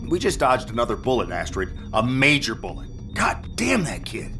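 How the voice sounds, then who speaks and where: An actor playing a gruff male engineering chief in a sci-fi audio drama, speaking in blunt, salty, emphatic lines. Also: mid-range voice, wildly swooping pitch, moderate pace, pushed and bright, faint music behind, exaggerated voice. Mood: relieved.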